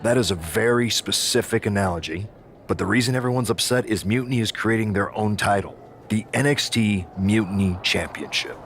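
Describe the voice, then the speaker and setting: A man's voice: lowish voice, brisk, fairly bright tone, slight background noise. An actor playing a dry, matter-of-fact man explaining backstage news in a video game cutscene.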